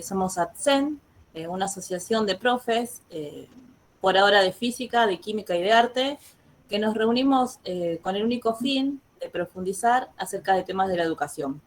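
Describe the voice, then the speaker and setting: An Argentine woman hosting an online educational talk, pausing often on filler words as she introduces guests and asks questions. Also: mid-range voice, slight background noise. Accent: Argentine Spanish. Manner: hesitant, many filler words. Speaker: host. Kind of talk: casual online interview.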